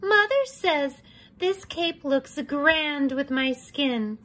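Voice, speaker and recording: Sassy, self-admiring little girl, acted by a woman, high swooping pitch, preening picture-book delivery. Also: lively intonation, very slow, bright, forceful tone, heavily acted. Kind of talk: children's picture book read-aloud.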